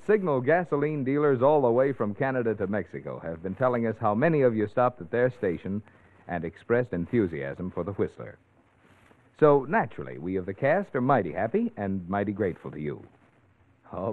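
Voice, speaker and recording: A man's voice: on the low side, animated, fairly quick. A man speaking in polished, emphatic 1940s radio announcing style, delivering a sponsor message. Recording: tinny old radio, narrow band.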